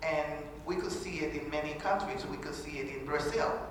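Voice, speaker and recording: Woman in her sixties, earnest halting talk, boomy echoing hall, faint background murmur. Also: slight background noise. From Honduras. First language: Garifuna. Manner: earnest, halting delivery with many pauses and restarts. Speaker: speaker at a panel event. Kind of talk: formal public talk. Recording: echoey hall from far off, faint ambience.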